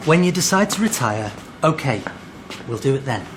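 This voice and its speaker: An actor playing a modest, loyal son and heir in a naturalistic radio drama. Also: male voice, medium pitch, big pitch swings, moderate pace, a bit bright, a little hiss or hum. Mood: respectful.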